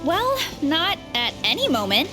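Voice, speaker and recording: A woman's voice: high voice, wildly swooping pitch, unhurried, full character voice. A woman voice acting a cheerful, eager and modest young heroine in a video game, friendly and gushing. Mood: upbeat, encouraging. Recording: clean studio voice over game music.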